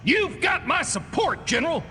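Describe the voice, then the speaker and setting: An actor playing a fervent, outraged war supporter in a video game, bombastic and raspy. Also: male voice, very high-pitched, sing-song, moderate pace, sharp tone, exaggerated voice. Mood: outraged fervor. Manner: bombastic, exclaiming. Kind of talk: theatrical video game character acting. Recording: reverberant hall, music behind.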